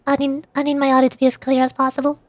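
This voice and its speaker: A woman's voice: high-pitched, completely flat delivery, very fast, no echo. A giggly woman streamer chatting casually to her viewers on a livestream.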